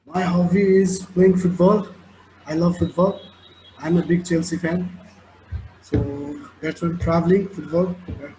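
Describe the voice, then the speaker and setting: A man's voice: on the high side, steady tone, measured pace, warm tone, slight background noise, poor audio. A man from Nepal, a water engineer, introducing himself eagerly on a video call.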